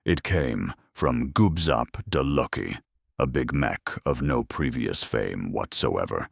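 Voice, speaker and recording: Male story narrator: rumbling bass, husky, unhurried, swinging widely in pitch, grimly wry. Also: lively intonation, no echo, clean studio sound. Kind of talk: dramatic scripted narration.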